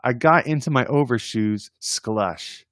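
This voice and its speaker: Slow-paced man reading children's poems. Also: medium pitch, no room sound, studio quality. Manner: playful, expressive verse reading with informal chatty asides. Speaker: host reading poems aloud on a livestream. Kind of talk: casual read-aloud of children's poems.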